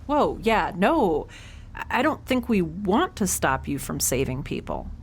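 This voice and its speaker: Woman acting as awkward wry young woman on a space station, swingy pitch, audio drama, slight noise behind. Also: big pitch swings, measured pace. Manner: hesitant, conversational, wry. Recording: faint background noise.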